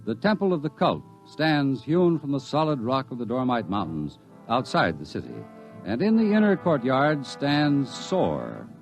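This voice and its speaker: A man's voice: mid-range voice, big pitch swings, unhurried, rough recording. A man narrating a radio drama in a dramatic, scripted storytelling style. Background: faint music.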